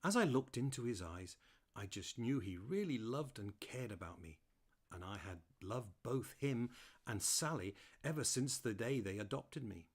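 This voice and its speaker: A man voicing a playful, chatty terrier who tells his own story in an animated, mischievous manner, as audiobook narration. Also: mid-range voice, moderate pace, slightly rough. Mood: mischievous, fond. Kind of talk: first-person audiobook narration.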